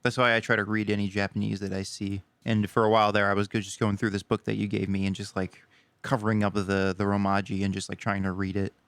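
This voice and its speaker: A young man co-hosting a podcast, chatting casually in a relaxed, rambling way with many fillers. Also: fairly low pitch, fast talker, clean tone, no room sound. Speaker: podcast co-host. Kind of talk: casual chat.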